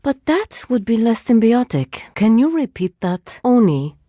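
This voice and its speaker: A woman playing a composed female cyborg agent with a drone hive in a video game, speaking formally and precisely in measured phrases. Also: fairly high pitch, very animated, dark tone, dry recording, clean studio sound. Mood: polite, brighter. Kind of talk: scripted video game voice lines.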